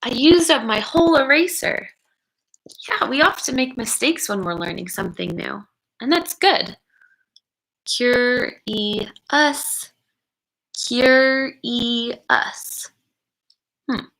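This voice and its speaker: A woman educator reading a picture book aloud to children, expressive and encouraging, pausing to wonder aloud and speak to her listeners. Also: a little high, vocal fry, rough recording.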